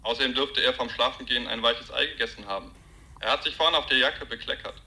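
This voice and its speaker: A cold, mocking kidnapper in a radio crime play. His voice is very bright and hard-edged, and he rattles out precise, commanding orders at speed. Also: medium pitch, quick pace, low-quality recording. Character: cold, mocking male kidnapper making ransom demands by phone. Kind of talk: German radio crime drama acting.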